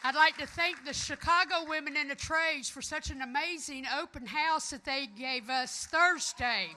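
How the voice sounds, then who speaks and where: A middle-aged woman from the American South speaks in a high, forceful voice with a Southern accent. She addresses a conference as a union tradeswoman, loud and emphatic, with a folksy, rallying delivery. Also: normal speed, slight background noise. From American South, Florida. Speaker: union electrician and trades council president giving a speech. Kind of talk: rousing conference speech. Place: conference hall, addressing an audience.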